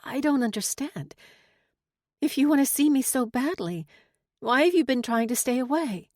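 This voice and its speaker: A woman acts out a young romance heroine, flustered and lovestruck but feigning composure. Her delivery is coy and slightly breathy. The recording is dry and close, as in an audiobook. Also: fairly low pitch, lively intonation, brisk, darkish tone, no room sound, lightly acted. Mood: hurt, challenging.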